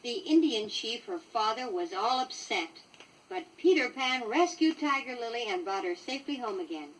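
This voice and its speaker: Female American storybook narrator for children, highish and very animated delivery, noisy vintage 1960s record. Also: normal speed, recorded from a distance. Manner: expressive, clearly paced storytelling for young children. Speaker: storybook reader.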